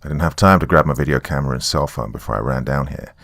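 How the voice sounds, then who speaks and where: A man's voice: rumbling low, fast, creaky, a bit bright, no room sound, full character voice. A man voicing a weary, sardonic small-town man, rambling wryly through a taped confession in a horror story. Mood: wry, flustered. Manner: rambling, wry, colloquial. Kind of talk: dramatic monologue as a taped confession.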